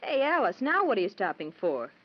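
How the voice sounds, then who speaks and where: A woman's voice: mid-range voice, sing-song, brisk. An actress playing a sarcastic, tired young American college woman, wisecracking in exasperation in a 1940s-style radio drama. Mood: sarcastic, grumbling. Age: young adult. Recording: muffled, noisy vintage radio recording.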